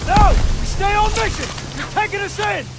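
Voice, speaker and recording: A man's voice: extremely high pitch, moderate pace. An actor playing a resolute infantry lieutenant, giving commands to his men in a war game. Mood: urgent shouting. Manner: commanding, urgent. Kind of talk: cinematic war game acting. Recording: noisy battle effects, rain and engines.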